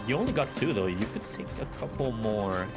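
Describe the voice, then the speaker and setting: A man with a somewhat low voice chats casually while playing a co-op game and points teammates to what they should grab. He is helpful and easygoing. Loud game music plays beneath his voice throughout. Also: expressive, brisk. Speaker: gamer playing co-op with friends. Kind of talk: casual gameplay chat.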